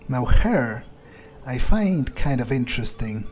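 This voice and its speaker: A man's voice: medium pitch, very animated, takes it slowly, a little mellow. A man role-playing his character in a video game playthrough, thinking aloud in a musing, hesitant, sardonic way. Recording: faint background game ambience.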